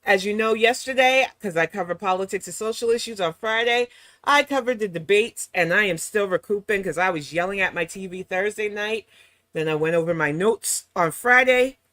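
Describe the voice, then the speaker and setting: Sassy slightly hoarse woman streamer. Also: medium pitch, normal speed, fairly bright tone, dry recording. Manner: sassy, mocking asides. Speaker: reaction channel host. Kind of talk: casual live-stream chat.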